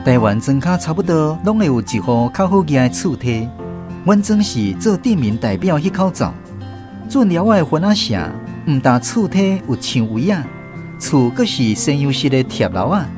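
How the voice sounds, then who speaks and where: Nostalgic narrator, Taiwanese accent, animated intonation, scripted storytelling, faint music behind. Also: male voice, a little high, normal speed. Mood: reflective. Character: grown man fondly recalling his country childhood.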